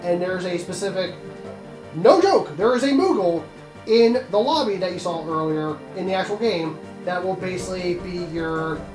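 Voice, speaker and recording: A man with a rather high voice hosts a casual video game commentary. He explains in a rambling, chatty way, in an echoey room with faint music behind. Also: animated, moderate pace. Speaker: host of a video game let's play.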